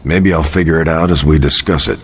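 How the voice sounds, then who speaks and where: An actor playing a calm, thoughtful hero in a video game, speaking slowly and reflectively. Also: male voice, rumbling low, breathy edge, exaggerated voice. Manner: slow, reflective. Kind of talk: video game cutscene acting. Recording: long reverb, faint ambient noise.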